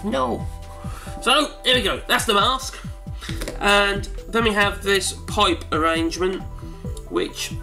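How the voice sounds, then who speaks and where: A wheezy man in his forties talks through a casual how-to demonstration from a cluttered home study. He rambles and corrects himself as he goes, in a slightly high voice. Music plays clearly behind him. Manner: rambling, chatty, self-correcting. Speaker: home video maker.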